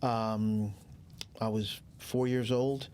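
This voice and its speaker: A man in his sixties from Cuba, a guest telling stories in a relaxed, rambling way with many fillers. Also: fairly low pitch, very slow, clear, resonant tone. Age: 60s. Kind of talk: casual interview chat.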